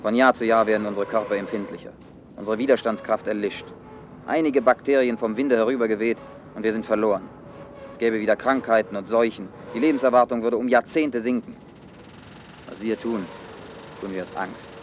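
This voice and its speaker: An actor playing a cynical, hard-headed man in a German science-fiction radio play, blunt and deliberate as he explains. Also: fairly low pitch, steady tone, slight background noise, lightly acted. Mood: grim. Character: cynical, hard-headed male official defending his orderly world.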